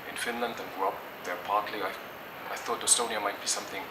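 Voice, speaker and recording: A man's voice: lowish voice, steady tone, fast talker. A middle-aged man from Germany answers interview questions thoughtfully, hesitating and restarting often. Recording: echoey, distant mic, noisy background.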